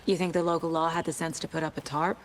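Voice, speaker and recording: A woman's voice: low-pitched, little pitch movement, quick pace, slight background noise, lightly acted. A woman playing a composed, dry-witted female FBI agent in a video game, speaking in clipped, analytical phrases. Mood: wry. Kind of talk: video game dialogue and investigative monologue.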